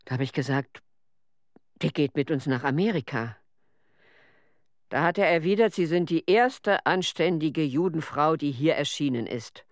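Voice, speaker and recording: An actor playing an agitated emigrant witness who recalls persecution in a radio documentary play. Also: male voice, fairly high pitch, no echo, studio quality.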